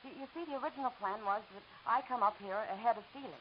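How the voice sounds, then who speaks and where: An actress playing an anxious, secretive woman in trouble in a 1940s radio noir drama, earnest, pleading and halting. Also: a little high, close to an everyday voice. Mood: nervous, explaining. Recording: muffled, hissy old radio recording.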